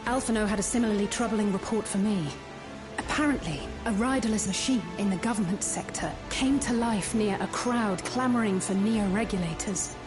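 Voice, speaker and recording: A woman playing a composed, purposeful adventurer in a fantasy video game, with measured, serious delivery. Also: medium pitch, music in the background, natural voice. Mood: grave. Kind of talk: dramatic video game acting.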